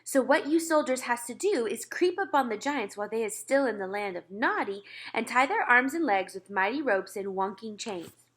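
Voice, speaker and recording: A woman's voice: fairly high pitch, animated, normal speed, few pauses, fairly bright tone, voice pushed up. A woman voicing a friendly, patient giant with muddled, folksy grammar and invented words, reading from a children's storybook. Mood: eager. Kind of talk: children's storybook read-aloud.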